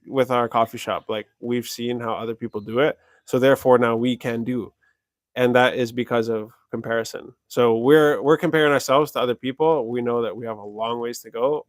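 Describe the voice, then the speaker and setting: A man's voice: mid-range voice, dry recording. Rambling young man on a dry mic. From Canada. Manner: rambling, many "like" fillers and self-corrections. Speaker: livestream host answering viewer questions. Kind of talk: casual livestream Q&A.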